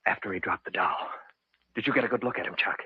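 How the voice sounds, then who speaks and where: An actor playing a level-headed man who narrates an eerie old-time radio drama in a measured, dramatic way. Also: on the low side, slightly rough, no room sound. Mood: curious, concerned. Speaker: narrator and lead character. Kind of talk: 1940s-style radio drama narration and dialogue. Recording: dull old radio recording with music.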